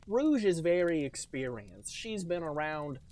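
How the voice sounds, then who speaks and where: Jokey young man, slightly high voice. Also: very animated, a little slow, a loud crowd. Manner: jokey, mock-grandiose asides. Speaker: podcast co-host. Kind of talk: casual chat.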